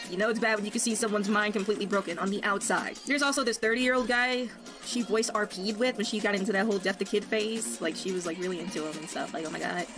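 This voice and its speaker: Fast-talking snide woman over music. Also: medium pitch. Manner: sarcastic, snide. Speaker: online video commentator. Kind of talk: casual gossip commentary. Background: background music.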